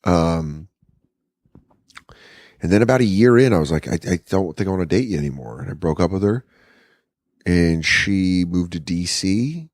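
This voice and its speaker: A man's voice: low voice, lively intonation, moderate pace, pauses often, a little mellow, no echo, clean studio sound. A man in his thirties, a comedian guest, telling candid, profane stories in casual podcast chat from an apartment. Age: 30s. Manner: candid, profane storytelling with acted-out quotes.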